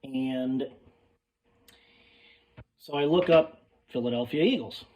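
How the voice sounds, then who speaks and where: A young man with a hoarse, cracking voice telling a rambling, sidetracked story in casual chat, swearing freely and calling his listeners folks. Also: mid-range voice, slow pace, a little mellow, distant mic. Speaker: sports fan vlogger.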